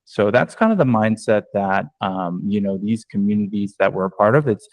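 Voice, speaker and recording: Male agent-trainer from New York, lowish voice, chatty with fillers, dry video-call audio. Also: moderate pace, darkish tone, dry recording, lo-fi sound. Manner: conversational, many ums and restarts. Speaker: real estate agent and trainer. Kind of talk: online training talk.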